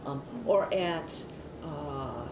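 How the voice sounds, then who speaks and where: A woman's voice: low voice, extremely slow. A middle-aged woman giving an oral history interview, talking loosely with many fillers and restarts. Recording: echoey room, mic at a distance, noisy.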